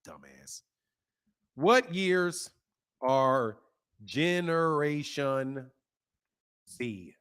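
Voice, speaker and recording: A man's voice: fairly high pitch, big pitch swings, very slow, pauses often, a bit bright, clean tone. A man in his fifties hosting a boxing channel, scolding and insulting a caller in a heated live argument. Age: 50s. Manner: insulting, scolding, repeats himself.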